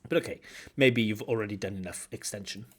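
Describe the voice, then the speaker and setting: Man, from Wales, examiner, explains with examples, gentle corrections, video call. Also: lowish voice, fast. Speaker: IELTS examiner and teacher. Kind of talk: feedback commentary on a speaking test.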